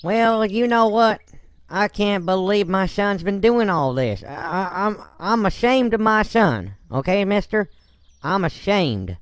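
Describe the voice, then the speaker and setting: Guy doing folksy businessman dad for a game roleplay, highish and very animated, blunt but polite. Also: clean tone, no room sound, studio quality. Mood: indignant, ashamed. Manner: folksy, blunt, drawn-out hesitations. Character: folksy male Texan businessman, ashamed father, blunt but courteous. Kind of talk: Minecraft roleplay voice acting.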